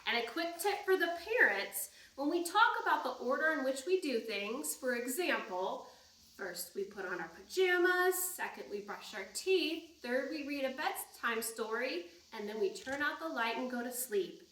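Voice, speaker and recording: A woman's voice: high voice, lively intonation, moderate pace, fairly bright tone. A woman leading a storytime for young children, reading aloud and talking in a sing-song way with simple prompts. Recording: echoey room, distant microphone.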